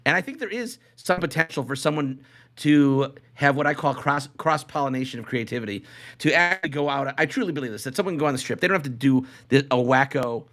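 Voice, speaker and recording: A man's voice: medium pitch, animated, quick pace, fairly bright tone. A man co-hosting a podcast in casual banter, rambling along and often repeating his phrases. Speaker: podcast co-host.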